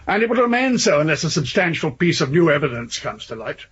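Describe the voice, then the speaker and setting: An actor playing a coolly authoritative, evasive police chief, formal and dismissive, in a radio drama. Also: male voice, a little high, sing-song, fast talker, fairly bright tone, dull audio, a little hiss or hum. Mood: stern, evasive.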